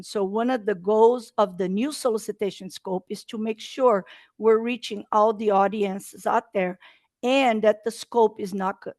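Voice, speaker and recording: A woman's voice: mid-range voice, a little mellow, dry recording. Woman, Brazilian Portuguese accent, earnest webinar presenter, dead-dry video-call sound. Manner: earnest, talks in non-native English with slight slips. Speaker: state procurement presenter.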